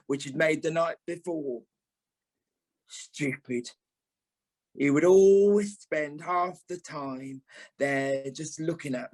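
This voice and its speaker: An actor playing a sentimental, camp middle-aged British performer in an audio play, with a theatrical, affectionate manner. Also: male voice, fairly high pitch, rough recording. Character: sentimental, camp older male performer, wry and fond.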